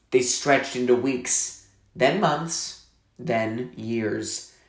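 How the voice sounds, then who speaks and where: Man reading a story aloud, echoey room. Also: mid-range voice. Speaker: reader reading a novel aloud. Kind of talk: scripted narration, read-aloud storytelling.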